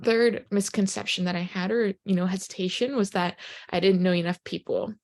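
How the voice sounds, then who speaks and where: A young woman whose first language is Japanese pitches a business opportunity in English with upbeat, earnest energy. Her voice sits at an everyday middle pitch. She fills her sentences with "you know" as she speaks. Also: steady tone, fairly quick, a little mellow. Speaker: presenter on a business opportunity call. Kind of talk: motivational recruiting talk.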